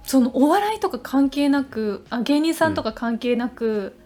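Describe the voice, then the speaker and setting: High-voiced, eager woman podcaster over faint music. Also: lively intonation, measured pace, a little mellow. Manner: eager, insistent, talkative. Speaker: podcast co-host. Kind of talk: casual chat.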